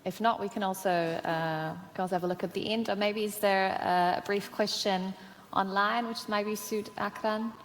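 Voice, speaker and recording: A woman's voice: mid-range voice, steady tone, normal speed, a little hiss or hum. A woman moderating a conference panel, thanking speakers and introducing the next one politely. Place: large conference hall. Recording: reverberant hall with faint ambience.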